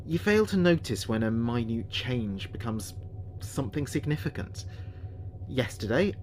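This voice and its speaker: Earnest spaceship officer, played by a man, lively intonation. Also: medium pitch, a little hiss or hum, close to an everyday voice. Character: earnest spaceship communications officer recording mission logs. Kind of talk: audio drama monologue, log narration.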